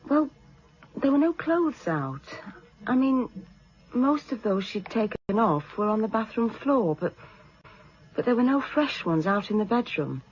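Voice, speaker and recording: An actress playing a shaken but sharp-tongued British woman witness in a radio drama, hesitant and then bluntly defiant. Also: fairly high pitch, big pitch swings, measured pace, slightly rough, lo-fi sound, heavily acted. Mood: hesitant, certain.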